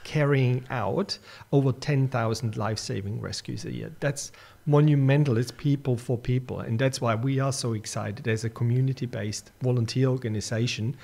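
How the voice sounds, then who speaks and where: A man's voice: mid-range voice, steady tone, normal speed, creaky, a little mellow. German-accented man, eager club volunteer. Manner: earnest, enthusiastic, with restarts and fillers. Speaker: surf club secretary and media manager. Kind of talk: radio interview guest.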